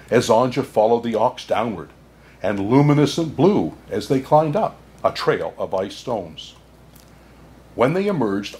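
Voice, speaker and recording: A man playing a kindly, jolly old Santa Claus, reading a children's storybook aloud in an expressive way. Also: mid-range voice. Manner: unhurried, chuckling asides, expressive storytelling.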